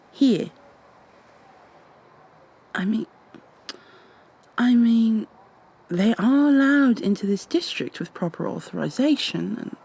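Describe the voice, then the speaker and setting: Woman acting a slow-spoken, proud goo girl agent. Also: mid-range voice, vocal fry, mellow, slightly rough, exaggerated voice. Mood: puzzled. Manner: prim, indignant, formal wording. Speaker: voice actor in an audio roleplay. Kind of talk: ASMR roleplay acting.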